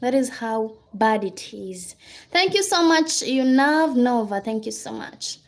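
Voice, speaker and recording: A Kenyan woman with a notably high voice, talking loosely and informally as she hosts a livestream. She reads viewers' comments aloud, answers them in a chatty way, and keeps thanking people by name. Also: animated, unhurried. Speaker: livestream host. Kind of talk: casual livestream chat.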